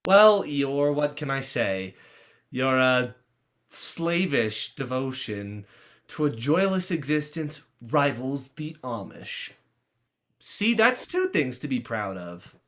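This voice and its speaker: An actor playing a hesitant, sarcastic teenage boy in a stage script reading, halting and sardonic. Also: medium pitch, very animated, fairly bright tone. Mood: wry.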